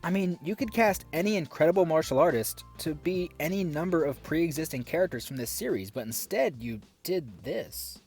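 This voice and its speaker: Sarcastic, animated male film reviewer. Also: on the high side, moderate pace, few pauses, soft background music. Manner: sarcastic, exasperated asides. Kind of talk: scripted review commentary.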